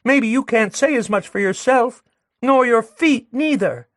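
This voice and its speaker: A man's voice: a little slow, no room sound, studio quality. A man voicing a furious young working-class woman who rails and jeers with scorn. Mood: indignant. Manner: shrill, jeering, railing.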